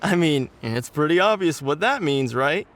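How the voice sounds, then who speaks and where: Animated, anxious young villager. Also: female voice, sits low, normal speed. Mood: confident. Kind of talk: anime game voice acting.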